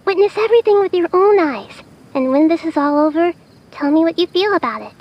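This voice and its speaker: A woman voicing a mysterious, composed young stranger in a video game, speaking in a cryptic, measured manner. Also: lively intonation. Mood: calm, enigmatic. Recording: dull audio with faint rumble.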